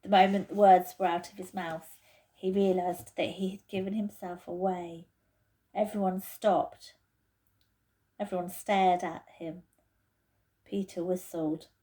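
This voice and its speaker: A woman reading a children's storybook aloud in a deliberate, expressive way, like a teacher to pupils. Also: fairly low pitch.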